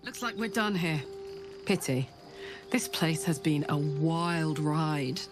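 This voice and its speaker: A woman's voice: low-pitched, slow pace, over music. An actress voicing a blunt, plain-spoken soldier woman with a mechanical heart, speaking with rueful candor. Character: gruff soldier woman with an infernal engine heart.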